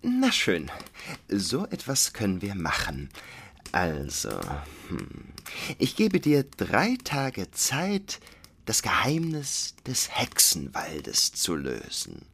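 A man's voice: fairly low pitch, wildly swooping pitch, slow, fairly bright tone, slight background noise, heavily acted. A man playing a smug, sly evil wizard in a fairytale radio play, with a theatrical, teasing manner. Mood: smug, menacing.

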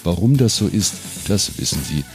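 A man's voice: low-pitched, big pitch swings, normal speed, soft background music. A man hosting an interview podcast in a conversational, wry, colloquial way. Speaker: podcast host.

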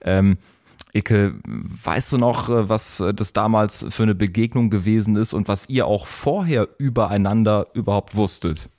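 A man's voice: on the low side, moderate pace, darkish tone, no room sound, clean studio sound. A man hosting a podcast, introducing his guests in an enthusiastic, presenting style. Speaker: podcast host.

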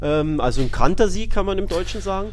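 A man's voice: high-pitched, expressive, fast talker, a little hiss or hum. A man co-hosting a football podcast in casual chat, with a teasing, ironic manner. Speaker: podcast co-host.

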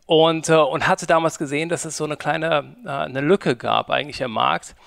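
A man in his forties from Germany speaks as an interview guest, his voice gravelly with fry. He talks haltingly, filling gaps with hesitations and restarting sentences. The sound is dry and close, with no room echo. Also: on the high side, fairly even intonation, fairly bright tone. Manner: hesitant, many fillers and restarts. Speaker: guest, media company co-founder. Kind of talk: conversational interview.

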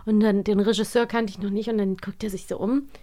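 A woman's voice: medium pitch, fairly even intonation, brisk, mellow. A youthful-sounding woman co-hosting a podcast in casual, colloquial chat. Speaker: podcast host and voice actress.

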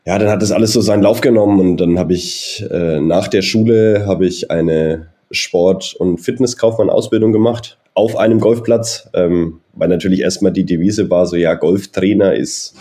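A man in his thirties with a very low, mellow voice. He talks in a friendly, rambling way and leaves lots of fillers and pauses. He is a golf coach being interviewed about his career. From Germany (Nuremberg). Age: 30s. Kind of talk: interview answers, personal background.

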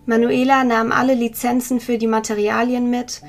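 A woman reading scripted documentary narration in a calm, measured way. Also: fairly high pitch. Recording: soft music underneath.

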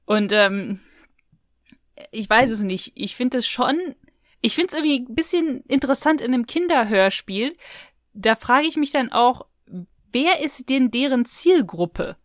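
A woman's voice: a little high, animated, unhurried, no room sound, clean studio sound. A woman talking casually as co-host of a discussion podcast.

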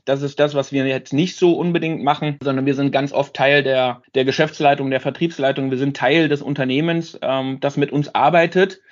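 A man's voice: medium pitch, steady tone, brisk, few pauses. A man in his thirties explaining his work as a sales trainer in an earnest, conversational interview style. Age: 30s. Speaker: sales trainer, company founder. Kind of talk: conversational interview talk about own work. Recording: dull lo-fi sound.

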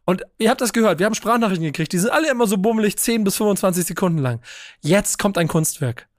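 A young man hosting a football podcast, energetic and joking, with casual swearing. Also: high-pitched, fast talker, no room sound, studio quality. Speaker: podcast host. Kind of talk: casual chat.

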